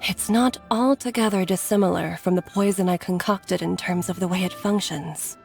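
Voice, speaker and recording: An actress voicing a gentle, regretful middle-aged woman doctor in a video game, speaking in a measured, earnest way with sighs. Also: lowish voice, fairly even intonation, creaky. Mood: reflective, probing. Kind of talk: dramatic video game voice acting. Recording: background music under the voice.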